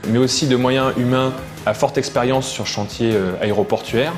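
A man's voice: medium pitch, fairly even intonation, normal speed. A man, a contractor's manager, explaining in a composed way in a documentary interview. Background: background music.

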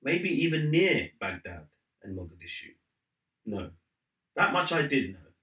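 Male author for a book reading: bright, lowish voice, measured narration, roomy living-room acoustic. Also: big pitch swings. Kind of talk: measured literary read-aloud narration. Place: living room at home, seated in an armchair. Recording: slightly roomy indoor sound.

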